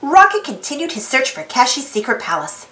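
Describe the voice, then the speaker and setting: A woman reading a storybook aloud to children in an expressive narrating style, inviting young listeners in. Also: high voice, fairly quick, echoey room.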